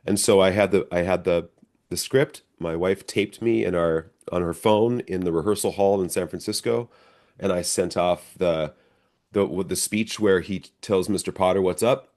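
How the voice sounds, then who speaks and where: A middle-aged American man with a low-pitched voice talks casually as an interview guest. He tells stories in a conversational way, slipping into quoted dialogue like the actor he is. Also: moderate pace, darkish tone. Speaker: stage actor being interviewed.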